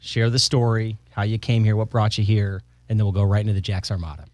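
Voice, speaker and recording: A man hosting a podcast, talking in an enthusiastic, jokey way with a lively sing-song intro voice. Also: fairly low pitch, quick pace, no echo. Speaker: podcast host. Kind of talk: casual interview chat.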